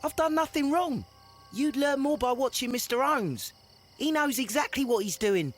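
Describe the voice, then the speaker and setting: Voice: high-pitched, lively intonation, normal speed, soft background music. An actor voicing a cheeky, loyal young street urchin boy in a video game. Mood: defiant. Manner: cheeky, eager.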